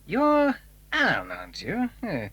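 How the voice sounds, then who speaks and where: A man's voice: high-pitched, wildly swooping pitch, slow, bright, forceful tone, breathy edge, highs cut off, exaggerated voice. A man playing a stern, formal upper-class English stepfather in a children's audio drama. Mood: polite, awkward. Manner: formal, strict, easily irritated.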